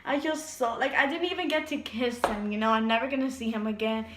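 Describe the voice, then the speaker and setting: A young woman telling a storytime tale in a vlog, animated and giggly, acting out voices as she goes. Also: on the high side, a little fast, background noise. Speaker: vlogger. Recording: echoey room.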